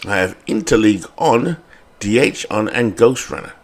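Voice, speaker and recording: Man, British accent, lowish voice, casual rambling chat, fillers and restarts. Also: moderate pace. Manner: rambling, chatty, with fillers and self-corrections. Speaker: game channel host. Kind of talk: casual commentary over a baseball sim game.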